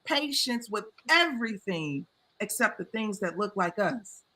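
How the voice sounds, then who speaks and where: A woman's voice: medium pitch, very animated, unhurried, crowd noise, poor audio. A woman talking passionately in a casual discussion, getting heated as she makes her points. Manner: passionate, impassioned.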